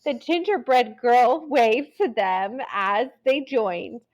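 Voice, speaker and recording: A woman's voice: fairly high pitch, slow, poor audio. A woman narrating a children's story expressively, as if reading a picture book aloud.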